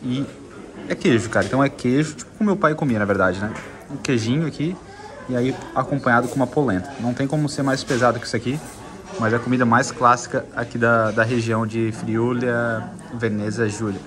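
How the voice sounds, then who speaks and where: Man, southern Brazilian accent, medium pitch, casual food vlogger, conversational, repetitive phrasing, clear crowd chatter in a restaurant. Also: a little fast. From Santa Catarina, southern Brazil. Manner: informal, conversational, repeats himself. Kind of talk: casual vlog chat while tasting food. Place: inside a restaurant. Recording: busy restaurant chatter behind.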